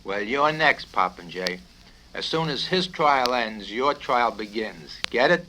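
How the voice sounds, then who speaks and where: A man reading a satirical novel, voicing a bullying, foul-mouthed military colonel who blusters and shouts his questions. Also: medium pitch, lively intonation, a little slow. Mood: menacing. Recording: noisy 1970s vinyl record with clicks.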